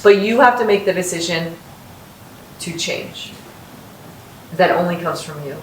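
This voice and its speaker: Woman, encouraging mentor, low voice, roomy echo, faint hiss, distant mic. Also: lively intonation, unhurried. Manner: upbeat, encouraging, storytelling. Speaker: host and company founder. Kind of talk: casual podcast chat, mentoring students. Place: house in North Las Vegas, room with echo.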